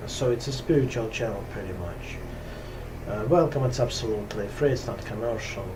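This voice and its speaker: A man from Russia speaking English with a Russian accent, talking slowly and hesitantly with many pauses and fillers in a casual spiritual talk to camera. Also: mid-range voice, background noise. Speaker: yoga teacher. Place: top-floor room at home on a hot day.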